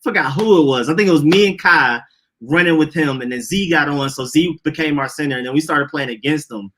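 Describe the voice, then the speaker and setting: Bright-voiced young Atlanta man, rambling. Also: a little high, fairly quick. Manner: loose, rambling storytelling with slang. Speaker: podcast co-host. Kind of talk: casual chat.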